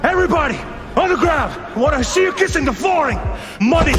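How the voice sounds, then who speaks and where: An actor playing a frantic armed robber who screams threats at hostages. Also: male voice, very high-pitched, expressive, a little fast. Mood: screaming, threatening. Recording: roomy echo with loud music.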